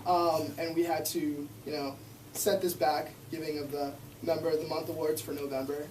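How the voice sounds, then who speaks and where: A young man with a slightly high voice emcees a student club meeting in an easygoing, upbeat manner. He sounds distant in an echoey classroom with faint noise. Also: level tone, moderate pace, a little mellow. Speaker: club president running the meeting. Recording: echoey room, distant mic.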